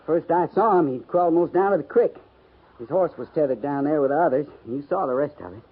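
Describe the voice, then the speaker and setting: An actor playing a loyal, grumbling cavalry sergeant in a 1950s radio western, with folksy rustic speech. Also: male voice, a little high, a little fast, breathy edge. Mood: flustered, amazed. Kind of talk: 1950s radio drama acting. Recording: noisy, tinny 1950s radio recording.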